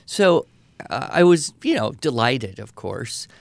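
A man's voice: medium pitch, takes it slowly, vocal fry, no echo. A middle-aged man co-hosts a podcast about teaching, easing into stories with a casual, anecdotal flow. His voice sits squarely in the middle range. The sound is close and dry, with no room around it. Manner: relaxed anecdotal, frequent "uh" and "you know". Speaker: podcast co-host, writing educator. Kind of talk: casual conversational storytelling.

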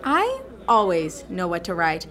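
A woman's voice: on the low side, huge pitch swings, a little slow, fairly bright tone, exaggerated voice. A woman voicing a gushing, dreamy teenage girl in a radio drama, full of "like" and "you know.".

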